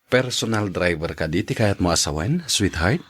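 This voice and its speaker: Patient, affectionate Ilocano husband, played by a man: deep, rough-edged voice, obliging manner, for audio drama. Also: animated, moderate pace, a bit bright, full character voice. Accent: Ilocano Filipino. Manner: affectionate, accommodating.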